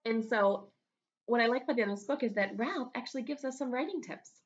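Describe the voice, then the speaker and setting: A woman's voice: fairly high pitch, quick pace, highs cut off. A woman teaching young children in a conversational, encouraging way, as a first-grade teacher talking through a read-aloud. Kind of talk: read-aloud lesson with asides to pupils.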